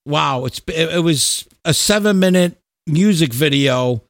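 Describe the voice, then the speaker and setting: A man with a fairly high voice hosts a laid-back chat about music. He talks bluntly and informally, cursing freely. The sound is close and dry. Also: lively intonation, unhurried, no echo. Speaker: podcast host and musician.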